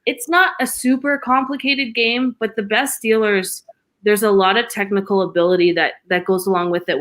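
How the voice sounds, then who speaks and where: A woman's voice: medium pitch, a bit bright. A woman in her forties, a former poker dealer, talking reflectively in a casual podcast chat with many fillers.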